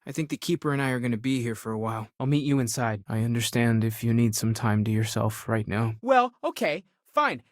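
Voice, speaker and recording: A man's voice: medium pitch, expressive, brisk, hardly any pauses, dry recording, studio quality, close to an everyday voice. A man playing an earnest, curious theological scholar turned space explorer in a video game, thoughtful and articulate. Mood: reassuring, then exasperated, insistent. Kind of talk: video game character dialogue.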